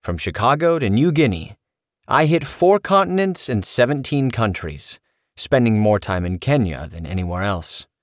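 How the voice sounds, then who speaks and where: A middle-aged man narrating his own memoir as an audiobook, telling it in an animated, expressive way. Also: medium pitch, normal speed, dry recording, studio quality.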